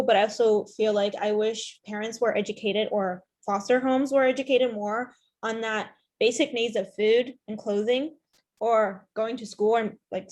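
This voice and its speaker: A young woman from Ethiopia whose first language is not English, speaking earnestly on a panel about foster care with many fillers and restarts. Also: mid-range voice. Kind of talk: panel discussion.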